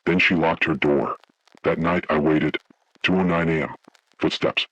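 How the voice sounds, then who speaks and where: A man voicing a haunted, grave survivor, narrating a scripted first-person horror story in slow, deliberate, ominous phrases with a gravelly, husky voice. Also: a bass voice, fairly even intonation, fairly bright tone, no room sound, muffled recording, heavily acted. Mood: grave dread. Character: haunted man recounting childhood motel horror, grave, dread-filled.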